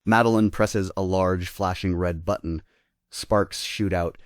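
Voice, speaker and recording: A man's voice: low voice, fairly even intonation, measured pace, no echo, studio quality. A man narrating stage directions in a scripted table read.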